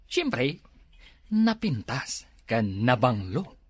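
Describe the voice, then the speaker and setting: A man's voice: mid-range voice, very animated, drawn-out words, slightly rough, no room sound, studio quality, full character voice. A man with an Ilocano accent acting a smitten village husband in a comedy skit. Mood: wistful, smitten.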